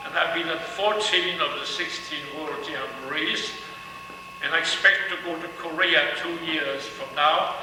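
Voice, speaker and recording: Older man from Denmark, fairly high voice, distant mic, noisy hall echo. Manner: unhurried, repeats phrases while searching. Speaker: guest speaker at a ceremony. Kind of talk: ceremonial speech to an audience. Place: large hall. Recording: echoey hall from far off, noisy.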